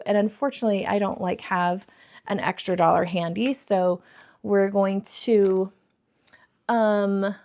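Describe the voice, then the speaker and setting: A woman in her thirties with a croaky, scratchy throat, chatting to viewers as she counts cash for savings challenges. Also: medium pitch, darkish tone. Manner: bubbly, addresses viewers as friends, counts money aloud. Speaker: budgeting vlogger.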